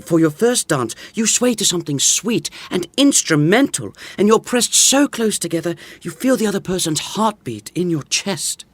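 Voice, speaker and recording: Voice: mid-range voice, expressive, normal speed, hardly any pauses, dry recording, natural voice. An actor playing a wistful, teasing young confidant in a modern romantic comedy audio drama. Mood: wistful, dreamy.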